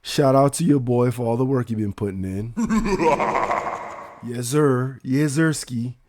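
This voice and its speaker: A raspy-voiced man of middling pitch hosts a casual livestream. He talks slowly in slangy, hyped bursts, circling back to repeat his phrases. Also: a little mellow. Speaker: livestream host.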